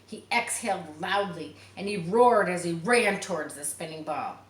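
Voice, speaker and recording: Low-pitched woman reading aloud in a roomy space. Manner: expressive, pauses to explain to young listeners. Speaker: storybook reader. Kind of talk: children's read-aloud with chatty asides. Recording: roomy, distant mic, faint background noise.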